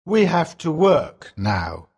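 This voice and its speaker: A woman's voice: sits low, sing-song, takes it slowly, a little mellow, breathy edge, pitched below the performer's own voice, exaggerated voice. A woman voicing a middle-aged merchant father in a storybook, speaking earnestly and with feeling. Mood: sad. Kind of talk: storybook character voice.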